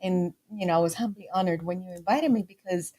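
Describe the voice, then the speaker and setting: Guest, weiblich, 40er Jahre, ricanischer Akzent, offen erzählend, mischt Spanisch ein. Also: female voice, lowish voice, fairly quick, warm tone. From Puerto Rico. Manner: candid and storytelling, switching into Spanish phrases. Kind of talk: casual interview.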